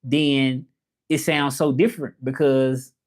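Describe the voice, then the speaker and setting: A man with a Southern accent from Memphis hosts a casual livestream chat. His pitch is average, and he talks informally, rambling a little and circling back to repeat his points. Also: level tone, slow. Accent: Memphis Southern American. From Memphis, southern United States. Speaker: livestream host. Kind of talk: casual livestream chat about learning languages, reading comments.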